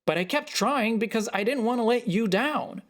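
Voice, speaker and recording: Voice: mid-range voice, animated, pitched above the performer's own voice, close to an everyday voice. Defensive, frustrated grown-up child, acted by a narrator. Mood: angry, hurt. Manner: halting, then heated. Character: defensive, frustrated adult photographer arguing with a parent. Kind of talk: dialogue in a narrated short story.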